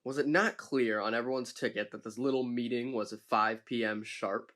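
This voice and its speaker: A man voicing a grumbling ship captain in an amateur audio drama, deadpan and grumpy. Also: medium pitch, moderate pace, close to an everyday voice. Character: grumbling middle-aged male ship captain.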